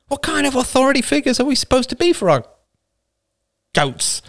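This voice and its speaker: A man's voice: very high voice, sing-song, fairly quick, creaky, a bit bright, no echo, clean studio sound, pitched above the performer's own voice, full character voice. A man voicing a bitter, angry clansman, growling with exasperation, in an audiobook story. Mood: bitter anger.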